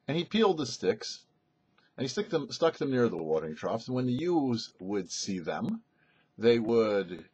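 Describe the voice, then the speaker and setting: Husky man teaching Torah, lively intonation. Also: lowish voice. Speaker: rabbi presenting a weekly Torah portion show. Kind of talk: religious teaching, scripted-sounding Torah commentary.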